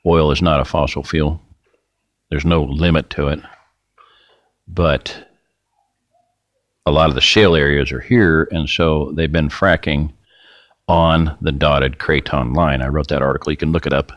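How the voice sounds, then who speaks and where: A middle-aged man hosting a rambling, folksy screen-share talk, his voice getting raspy and dry. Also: very deep voice, steady tone, unhurried, pauses often, fairly bright tone, no echo, highs cut off. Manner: rambling, folksy, self-interrupting. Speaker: video host.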